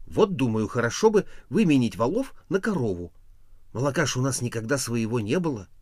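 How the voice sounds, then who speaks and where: A man's voice: medium pitch, sing-song, brisk, darkish tone, dry recording, clean studio sound, voice pushed up, lightly acted. A man voicing a naive, easygoing peasant husband who tells boastful stories in a folk tale. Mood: coaxing, storytelling.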